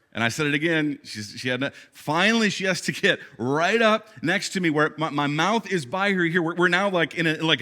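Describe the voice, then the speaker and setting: A male pastor preaching a sermon on stage with a worn mic, shifting between animated talk and quiet intensity. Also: a little high. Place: church stage with congregation. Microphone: wearing a microphone.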